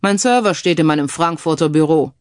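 A woman's voice: sits low, brisk, clean tone, no echo, natural voice. Woman voicing a cautious veteran male bookmaker. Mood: matter-of-fact. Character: wary veteran male bookmaker, experienced businessman. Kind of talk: audiobook character dialogue.

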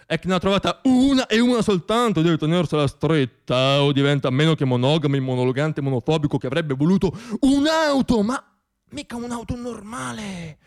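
High-pitched man performing a theatrical comic monologue. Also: expressive, brisk. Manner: self-deprecating, wry, repeats words for effect. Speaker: monologue storyteller and stage performer.

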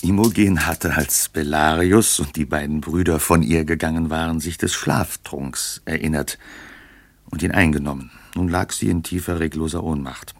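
A male narrator with a remarkably deep voice. The recording is very dry. He reads the story of a classical radio play in a composed storytelling manner. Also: fairly even intonation, moderate pace, no room sound.

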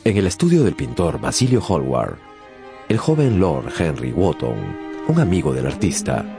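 A man with an extremely deep, creaky voice narrates a radio drama. His scripted storytelling swings expressively in pitch, with clear music playing underneath. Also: darkish tone.

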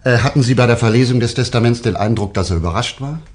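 A man's voice: mid-range voice, quick pace, lightly acted. An actor playing a courteous, persistent male private detective in a German radio drama, polite and probing with hesitant interjections.

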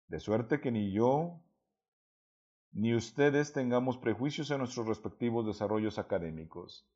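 Man, Mexican accent, teacher, unhurried, mellow, explanatory, hesitant, muffled lo-fi sound. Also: on the low side. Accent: Mexican Spanish. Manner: patient, explanatory, with many fillers and restarts. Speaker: Spanish teacher. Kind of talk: recorded lesson correcting student writing. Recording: dull lo-fi recording.

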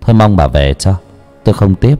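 A proud, stern village exorcist, as a man plays him who speaks curtly and firmly. His voice sits low. Faint music hums behind. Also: animated, fairly quick, mellow, long reverb tail. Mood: offended. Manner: curt, stern.

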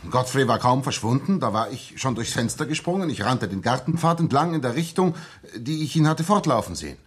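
A man's voice: mid-range voice, animated, a little fast, breathy edge. An actor playing an earnest, unsettled ex-soldier recounting his story in a 1960s German radio drama, sometimes hesitant. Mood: agitated. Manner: earnest, hesitant, repeating words when agitated. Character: earnest, shaken young English ex-soldier telling his story.

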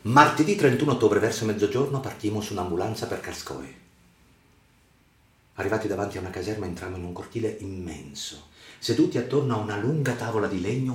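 A man reads a dramatic literary narration for radio theatre in a theatrical, emphatic, measured way. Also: on the low side. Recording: roomy echo with music underneath. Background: music.

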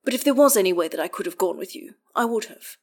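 A woman's voice: mid-range voice, animated, quick pace, a little mellow. A woman voicing a theatrical, guarded man who speaks firmly and archly to a close friend. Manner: arch, drawling, firm.

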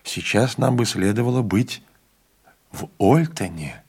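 A man's voice: fairly low pitch, expressive, a little slow, creaky, a little mellow, husky, exaggerated voice. A man voicing an anxious, doubtful young student with a tense, questioning tone. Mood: uneasy.

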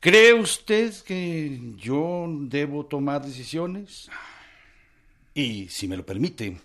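A self-important male head of state in a historical radio drama. He is formal and curtly polite, keeping his guard up. The voice sits somewhat high for a man. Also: sing-song, extremely slow, a bit bright, full character voice. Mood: firm, dismissive.

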